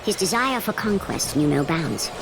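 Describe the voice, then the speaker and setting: A grave storyteller of ancient myth for a video game. Her scripted narration is dramatic and widely swooping in pitch, set over music. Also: expressive, moderate pace, low-quality recording.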